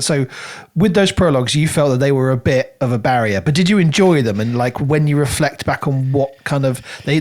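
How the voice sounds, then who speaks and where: A man co-hosting a podcast, talking through a book in a casual, animated and rambling way. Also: mid-range voice. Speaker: podcast co-host reviewing a book.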